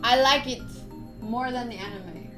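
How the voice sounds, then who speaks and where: A woman streaming a game, reading story text aloud in an expressive, chatty way with casual asides. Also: fairly high pitch, a little slow. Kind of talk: live visual novel playthrough, reading aloud with casual asides. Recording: soft music behind voice. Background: game music.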